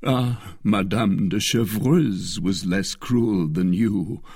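A man's voice: on the low side, slow, a little mellow, breathy edge, no echo, clean studio sound, exaggerated voice. A man voicing an ardent lovestruck nobleman, declaiming passionately in a dramatic audiobook reading. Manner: impassioned, declamatory.

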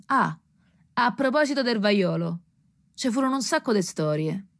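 A woman's voice: a little high, animated, normal speed, creaky. A woman playing an earthy, wry townswoman telling of an epidemic in colloquial Roman-accented speech for a radio drama. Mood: wry, gossipy. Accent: central Italian, Roman. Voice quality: colloquial, dialect-coloured delivery. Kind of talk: theatrical storytelling in radio drama. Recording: music under the voice.

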